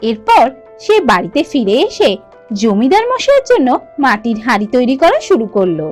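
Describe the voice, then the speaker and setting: A woman's voice: fairly high pitch, huge pitch swings, normal speed, soft background music. Woman narrating a folk tale. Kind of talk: storytelling narration.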